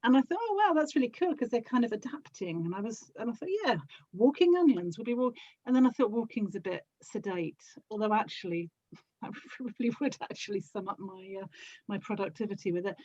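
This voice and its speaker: Gentle woman guest, slightly dull lo-fi sound. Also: a little high, lively intonation, fairly quick, dry recording. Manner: gentle, giggly. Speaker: podcast guest, audio drama producer. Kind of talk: casual chat.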